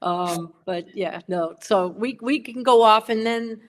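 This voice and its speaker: A woman's voice: mid-range voice, measured pace, a little hiss or hum. A woman co-hosting a casual livestream chat over a video call, talkative and self-deprecating, often laughing. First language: English. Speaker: co-host of a pen livestream.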